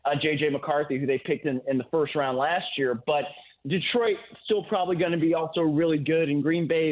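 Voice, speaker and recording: Male sports analyst, guest by phone, fairly high pitch, conversational and explanatory, narrow tinny line. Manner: conversational, long explanatory answers peppered with "you know" and "right?". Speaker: guest football analyst on a sports radio show. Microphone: phone or remote call-in line.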